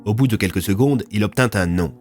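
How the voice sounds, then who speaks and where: A man narrates a scripted fiction serial as storyteller. His voice is on the low side, and music plays faintly behind it. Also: a little fast, darkish tone.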